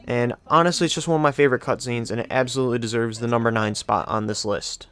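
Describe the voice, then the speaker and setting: A man's voice: medium pitch, fairly even intonation, fast talker, a bit bright. A young man hosting casual video game countdown commentary in an enthusiastic, effusive way. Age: young adult. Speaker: video game channel host.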